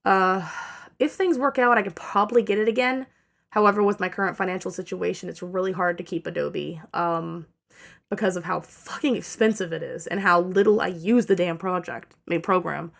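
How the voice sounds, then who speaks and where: Young woman, tired, dry-throated, a bit low and gravelly, rambles with lots of ums and restarts in a casual update. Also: fairly quick. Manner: rambling with many fillers and self-corrections. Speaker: channel owner giving an update.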